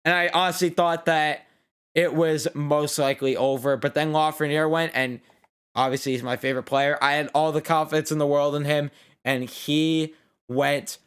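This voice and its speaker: Young male host, casual and blunt, tired and a bit worn on the voice, fairly high, clean tone. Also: fairly even intonation, normal speed, fairly bright tone, clean tone. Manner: blunt, opinionated, swears freely. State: tired and losing his voice. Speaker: sports reaction host. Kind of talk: casual post-game reaction.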